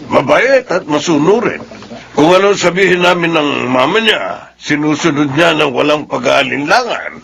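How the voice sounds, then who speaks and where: A man's voice: a little high, animated, moderate pace, gravelly. A man with a Filipino accent playing a proud, jovial, tipsy middle-aged father in a radio drama. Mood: boastful, jovial.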